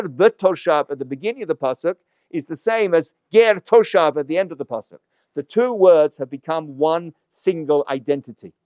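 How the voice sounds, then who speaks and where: A man's voice: a little high, no room sound. A man teaching a Torah class in a slightly raised voice, working through the text step by step and answering his own rhetorical questions. The sound is dry and close, with no room around it. He slips in a dry aside now and then. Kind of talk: lecture-style text study.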